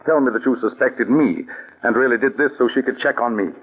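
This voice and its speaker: An actor playing an earnest, emotional army colonel in a 1940s radio drama. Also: male voice, mid-range voice, a little fast, natural voice. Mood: distraught. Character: smitten, anxious male army colonel. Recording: dull old radio broadcast.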